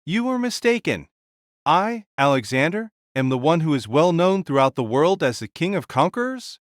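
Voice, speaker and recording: A man's voice: a little high, big pitch swings, moderate pace, no room sound, clean studio sound. A male narrator voicing a tolerant, jovial giant king who banters with a rival. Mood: bantering. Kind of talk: audio drama acting.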